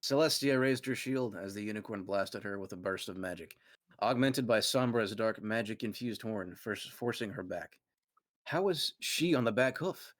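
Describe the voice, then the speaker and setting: A man's voice: fairly low pitch, moderate pace, fairly bright tone, dry recording. Man narrating fan fiction, dry close mic. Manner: dramatic storytelling read aloud, occasional stumbles.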